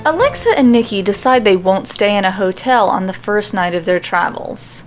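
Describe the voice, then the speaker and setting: A woman's voice: mid-range voice, animated, moderate pace, faint music behind. A woman hosting a podcast, informal and self-deprecating, who talks casually and reads out fan fiction. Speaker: podcast host and story reader.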